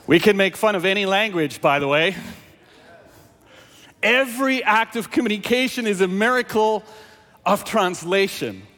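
A South African pastor, native Afrikaans speaker, preaching with a fairly high voice. He engages his listeners with lively questions, and his voice rings with the long reverb of a large hall. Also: moderate pace, long pauses. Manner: animated, engaging the audience with questions. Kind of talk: sermon with scripture reading.

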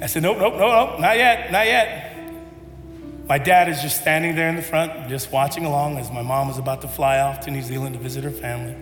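High-voiced guy preaching, tells a story then gets all fired up, big echoey church hall, bit of music under it. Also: fairly quick, fairly bright tone. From United States. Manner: storytelling, building to impassioned appeals. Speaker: pastor. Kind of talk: sermon.

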